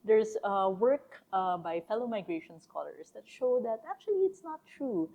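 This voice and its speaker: A woman sociologist speaking as a podcast guest, thoughtful and relaxed, with frequent fillers and easy laughter. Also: medium pitch, fairly quick, gravelly, poor audio. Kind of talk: casual interview conversation.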